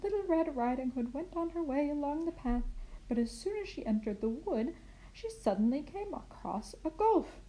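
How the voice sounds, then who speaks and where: A woman playing a kindly, muddled grandmother in an expressive children's storybook reading. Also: high voice, moderate pace, voice pushed up, rough recording. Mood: earnest storytelling. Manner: expressive storybook reading with puzzled self-corrections. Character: kindly, muddled grandmother misreading fairy tale aloud.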